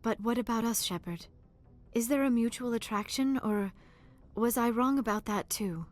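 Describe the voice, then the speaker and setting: A woman's voice: fairly high pitch, moderate pace, a little mellow, soft background music, lightly acted. An actress playing an earnest, shy female alien in a video game, speaking formally and carefully, with some hesitation. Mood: awkward, hopeful. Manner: formal, careful, hesitant phrasing without contractions. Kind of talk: video game cutscene dialogue acting.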